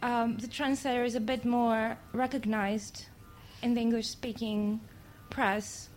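A native Greek woman with a Greek accent, asking an earnest, polite, halting question from the audience at a public event. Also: fairly high pitch, little pitch movement, a little mellow. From Greece. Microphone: handheld audience microphone. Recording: faint background noise, live event.

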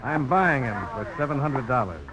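An actor in a radio drama playing a bold, plain-spoken frontier gambler and planter with a steady, unhurried delivery. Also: male voice, medium pitch, close to an everyday voice. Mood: confident. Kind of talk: 1950s radio drama acting. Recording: muffled, noisy old radio broadcast.

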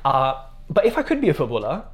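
A man's voice: fairly high pitch, wildly swooping pitch, quick pace. A man whose first language is Punjabi, a guest on a casual podcast, chatting playfully and laughing often.

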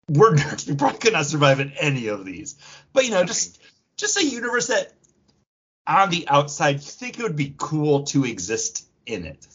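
A man hosting a casual podcast chat in a jokey, animated manner. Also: medium pitch, husky, lo-fi sound. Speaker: podcast host.

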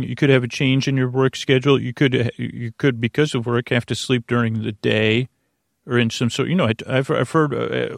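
A man hosting a bedtime podcast, talking softly in long, meandering tangents full of restarts and fillers, with a creaky voice. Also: medium pitch, no echo, studio quality. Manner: meandering, self-interrupting, soft and unhurried. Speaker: sleep podcast host. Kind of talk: rambling bedtime podcast talk.

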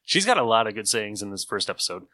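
Male podcast host, lowish bright voice, informal conversation, dry close sound. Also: sing-song, quick pace, no room sound. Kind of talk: casual chat.

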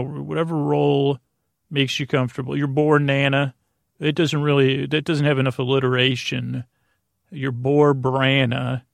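A man hosting a sleep podcast, delivering a rambling bedtime monologue in a halting, self-interrupting way that drifts into tangents. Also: mid-range voice, vocal fry, fairly bright tone, dry recording, studio quality. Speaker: podcast host.

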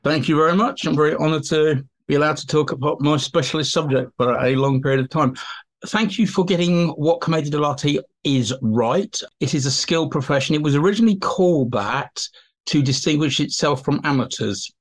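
A man's voice: a little high, a little fast. A man explaining his specialist subject as an interview guest, informal, enthusiastic and given to digressions. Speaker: expert guest, teacher and writer.

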